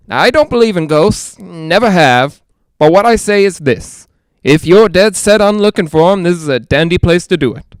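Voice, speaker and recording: A man's voice: high-pitched, smooth, no room sound. A man playing a chatty, skeptical radio ghost hunter in a 1940s-style audio drama, with folksy joking patter. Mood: wry, nervous joking.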